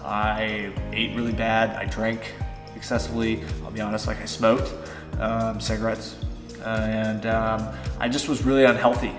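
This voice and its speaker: A man's voice: fairly low pitch, little pitch movement, a little slow, roomy sound, long reverb tail, over music. A man in his thirties, a fitness vlogger, answering viewer-style questions in a loose, chatty way with many fillers. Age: 30s. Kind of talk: casual Q and A vlog. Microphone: on-camera shotgun mic.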